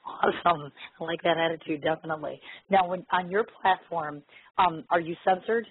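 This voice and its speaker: A woman hosting a casual, chatty internet radio interview, her voice hoarse and husky from laryngitis. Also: fairly low pitch, normal speed, no room sound. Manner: chatty, informal. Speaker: internet radio show host. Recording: muffled, lo-fi radio connection.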